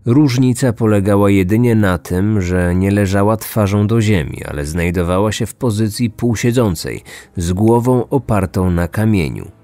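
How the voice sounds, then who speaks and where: Low-pitched man narrating true crime. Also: animated, moderate pace, dark tone, dry recording. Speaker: host of a true-crime podcast. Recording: music bed behind the voice.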